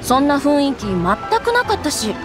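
A gossipy townswoman from an anime game. Her very high voice is animated, curious and chatty, with clear music playing behind it. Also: moderate pace. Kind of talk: anime-style game voice acting.

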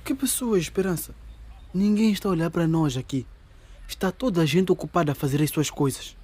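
An actor playing a young man on a stakeout who urges calm and patience. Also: fairly high pitch, brisk, slightly rough. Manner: soothing, urging patience.

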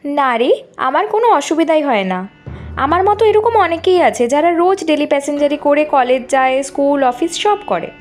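A woman voicing an earnest, hardworking young college student in an animated story, speaking politely and plainly. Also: sits high, brisk, soft background music. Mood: friendly. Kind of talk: Bengali animated story dialogue.

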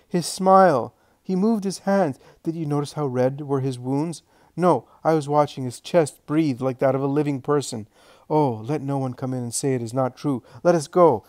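A man reading aloud, voicing a group of pious women exclaiming in excitement. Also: on the high side, no echo, clean studio sound, voice pushed up, natural voice.

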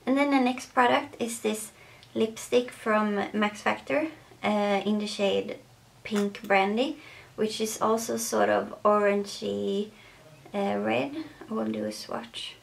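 Gravelly-voiced Swedish woman vlogging. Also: medium pitch, flat delivery, slow pace, a little hiss or hum. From Sweden. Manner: hesitant, many fillers, soft laughs. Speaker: beauty vlogger. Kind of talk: casual makeup chat to camera. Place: bedroom, indoors.

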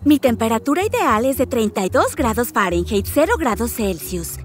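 Woman voicing a cheerful high-pitched talking dessert. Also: lively intonation, normal speed, soft background music, close to an everyday voice. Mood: friendly, explaining. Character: friendly talking frozen dessert, cheerful and helpful. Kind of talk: children's educational cartoon dub.

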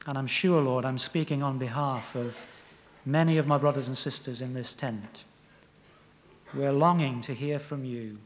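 A man's voice: medium pitch, big-room sound, a little hiss or hum. An older man with a British accent leading an earnest prayer and introducing the speaker at a convention. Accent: British English. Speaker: convention chairman. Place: large convention tent.

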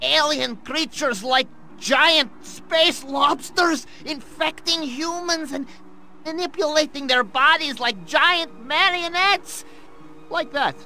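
An actor playing a nervous Soviet scientist in a game, speaking broken English with a Russian accent. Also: male voice, extremely high pitch, slow pace, bright, forceful tone, slight background noise, exaggerated voice. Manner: broken English, drops articles. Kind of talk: video game cutscene acting.

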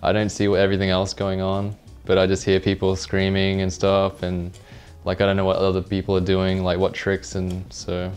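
A young man from Australia chatting in an easygoing, relaxed way about skating. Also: low voice, moderate pace, smooth, faint music behind. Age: young adult. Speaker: pro skateboarder. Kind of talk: casual interview answers.

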